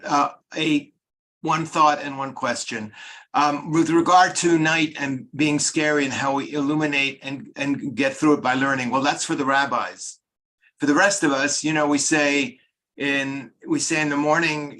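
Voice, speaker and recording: A man in the congregation offering a thought and a question at a measured pace, starting with "um" and "uh.". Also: a little high, pushed and bright, rough recording. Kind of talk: casual comment.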